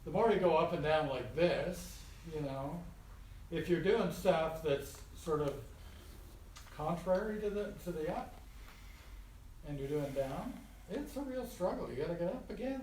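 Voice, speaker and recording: Roomy, distant recording of a folksy higher-pitched man. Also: sing-song, moderate pace, a little mellow. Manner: folksy, colloquial, repeats phrases for emphasis. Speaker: spiritual teacher. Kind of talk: informal spiritual talk to a small group. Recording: roomy, distant mic with faint noise.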